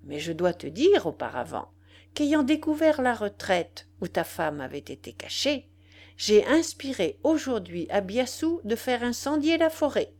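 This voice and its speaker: A woman's voice: a little high, very animated, unhurried, a little mellow, voice pushed up, exaggerated voice. A woman voicing a vengeful, gloating male dwarf sorcerer in an audiobook, with theatrical, commanding emphasis. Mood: gloating, menacing. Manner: theatrical, emphatic, cursing with Spanish words mixed in.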